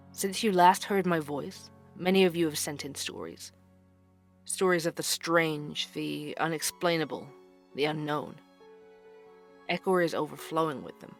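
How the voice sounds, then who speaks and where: Male investigative podcast host: earnest, deliberate dramatic narration for an urban fantasy audio drama, music underneath. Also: fairly high pitch, a bit bright.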